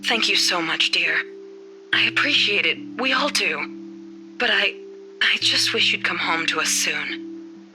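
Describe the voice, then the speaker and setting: An actress voicing a caring, worried middle-aged mother who speaks gently in a visual novel. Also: mid-range voice, animated, unhurried, pauses often, music in the background, close to an everyday voice. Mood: wistful, grateful.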